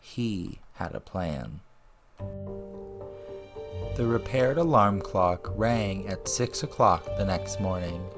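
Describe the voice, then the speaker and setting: A man reading a story aloud as narrator, in scripted audiobook style. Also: sits low. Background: music and ambience.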